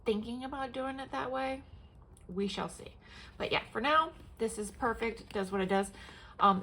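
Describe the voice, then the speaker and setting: A woman's voice: medium pitch, creaky, slight background noise. Congested woman rambling about her planners. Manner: rambling, many fillers and restarts. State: congested, sniffling. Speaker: planner vlogger. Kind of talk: casual show-and-tell chat.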